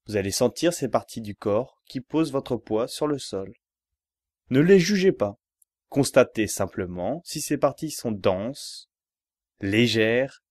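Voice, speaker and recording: A man leads a guided relaxation at a very slow pace. His instructions are measured and repetitive, given step by step. The sound is dry and close, with no room around the voice. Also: mid-range voice, a little mellow, dry recording. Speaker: yoga nidra relaxation guide.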